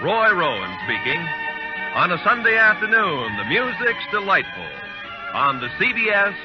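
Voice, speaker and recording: A man announcing from a script in 1950s network radio style, with a husky voice. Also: high-pitched, sing-song, normal speed. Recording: muffled old radio recording with faint music.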